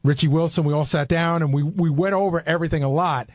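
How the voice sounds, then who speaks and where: A man's voice: on the high side, fairly even intonation, quick pace, darkish tone, no room sound. A man chatting casually on a radio talk show, explaining things in a rambling way. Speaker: radio show staff member on air. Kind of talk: casual studio discussion.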